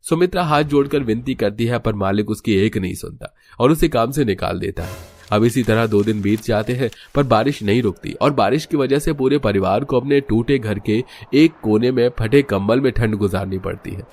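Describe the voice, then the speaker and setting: A man's voice: medium pitch, normal speed, darkish tone. A man narrating a scripted cartoon story as its storyteller.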